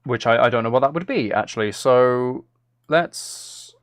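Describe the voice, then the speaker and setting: A man's voice: medium pitch, lively intonation, measured pace, no echo. A man chatting casually on a live stream, playful and self-deprecating, thinking aloud as he works. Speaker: live streamer and music maker.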